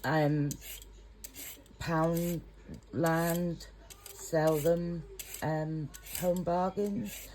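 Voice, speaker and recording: A woman's voice: deep voice, steady tone, drawn-out words, long pauses, a little mellow. A middle-aged English woman, a home cook, talking in a rambling, chatty, self-conscious way in her kitchen. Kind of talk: casual cooking vlog. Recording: noisy home recording.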